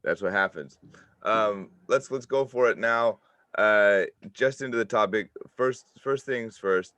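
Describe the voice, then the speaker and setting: A man's voice: on the low side, slow pace, pushed and bright, no room sound. A man hosting a podcast interview, chatting casually with many fillers and restarts. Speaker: podcast host. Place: home, in Japan.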